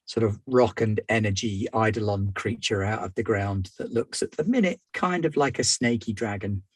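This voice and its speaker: A man's voice: fairly low pitch, moderate pace, no echo. A man playing a tabletop game, thinking aloud while he walks through his character. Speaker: player. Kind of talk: casual chat about game rules.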